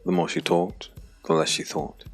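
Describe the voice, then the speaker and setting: A man narrating a scripted dark fantasy audiobook with a deep, gravelly voice. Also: sing-song, normal speed, soft background music.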